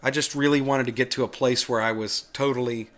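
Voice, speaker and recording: A man's voice: medium pitch, fairly even intonation, fairly quick, a bit bright. Informal man talking through a tech tutorial. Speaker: host of a Linux tutorial video. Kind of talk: casual screencast talk, explaining off the cuff.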